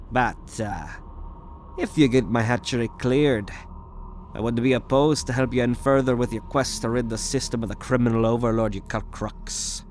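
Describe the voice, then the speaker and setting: A man voicing a shady green alien collector, gruff, bitter and quick to take offence, in an ASMR roleplay drama. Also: mid-range voice, a little hiss or hum, lightly acted. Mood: gruff, grudging. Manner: gruff, indignant, haggling.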